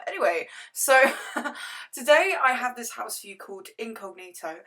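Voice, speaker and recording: Hoarse chatty woman. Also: mid-range voice, sharp tone. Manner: rambling, self-correcting, chatty. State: hoarse, lost voice. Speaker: gaming YouTuber. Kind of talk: casual game build commentary.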